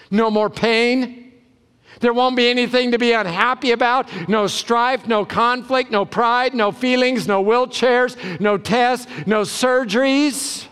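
A man preaching a sermon as a pastor, emphatic, with deliberate pauses and repeated short phrases, in a large hall. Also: very high-pitched, a bit bright, smooth.

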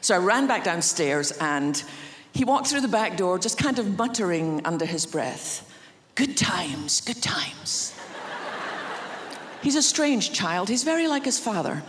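A woman's voice: medium pitch, sing-song, a little slow, slight background noise. Scottish woman preaching in a reverberant hall. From Scotland. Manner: warm anecdotal humor, acts out quotes. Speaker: guest preacher. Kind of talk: sermon storytelling. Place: large church auditorium.

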